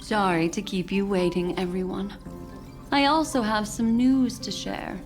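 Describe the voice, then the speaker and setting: A woman's voice: medium pitch, fairly even intonation, a little slow, faint music behind, lightly acted. A woman playing a composed, courteous envoy in a video game, poised, articulate and formal. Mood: poised, pleased. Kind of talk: video game dialogue acting.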